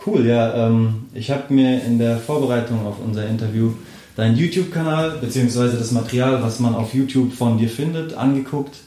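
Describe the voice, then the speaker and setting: A man's voice: mid-range voice, fairly quick, dark tone, slight background noise. A man hosting a podcast, reading a scripted intro and then asking his guest friendly, casual questions with plenty of fillers. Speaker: podcast host. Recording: roomy echo.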